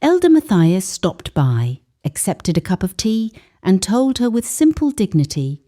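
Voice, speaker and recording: A woman narrating a scripted dramatic story in a solemn, measured manner with deliberate pauses for emphasis. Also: mid-range voice, very animated, warm tone.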